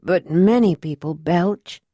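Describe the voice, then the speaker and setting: A woman voicing a weak, bedridden older mother with a raspy voice, speaking faintly and slowly. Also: lowish voice, dark tone, no echo, studio quality. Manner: faint, half-whispered, slow. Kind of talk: audiobook character voice.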